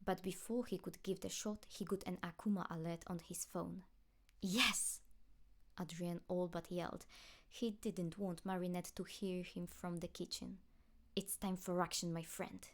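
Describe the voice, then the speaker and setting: Low-pitched woman narrating a story. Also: fairly even intonation, normal speed, dark tone, a little hiss or hum. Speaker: audiobook narrator.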